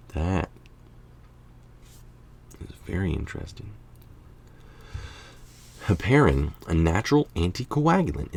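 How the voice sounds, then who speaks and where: A man's voice: deep voice, vocal fry, a little mellow. A man reading a science article aloud, informally and musingly, with casual asides and the odd stumble over technical words. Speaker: article reader and commentator.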